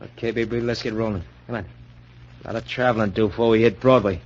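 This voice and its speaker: A man's voice: lowish voice, fairly even intonation, fast, lightly acted. An actor playing a cocky, bullying small-time crook with slangy tough-guy talk, in old-time radio crime drama style. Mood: bossy. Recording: dull, noisy old radio recording.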